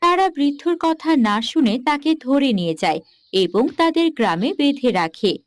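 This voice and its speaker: A woman's voice: high voice, animated, measured pace, dry recording. A woman reading scripted narration for a cartoon story as its narrator.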